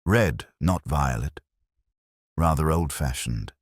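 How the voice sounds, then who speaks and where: A man's voice: very deep voice, expressive, slow, slightly rough, dry recording, clean studio sound, heavily acted. A man voicing a smug, prim village woman who relishes gossip, in an audiobook mystery. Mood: gossipy.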